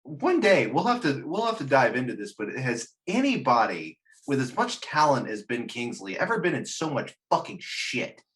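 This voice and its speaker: A man's voice: medium pitch, big pitch swings, moderate pace, few pauses, fairly bright tone. Blunt, sweary man chatting. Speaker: host of a film discussion show. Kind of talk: casual chat, movie commentary.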